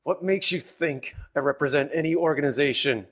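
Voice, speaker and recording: A man's voice: on the high side, a little fast, a bit bright. An actor playing a defiant young male prisoner in agony, strained and emphatic in a dramatised audiobook. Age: young adult.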